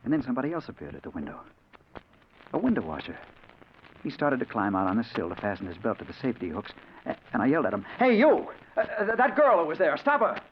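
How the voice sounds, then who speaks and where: Haunted hard-boiled American crime reporter, swooping delivery. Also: male voice, mid-range voice, wildly swooping pitch. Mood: alarmed. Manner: intense, dramatic, halting when agitated. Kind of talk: 1940s radio drama acting, first-person narration. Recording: muffled, hissy 1940s radio recording.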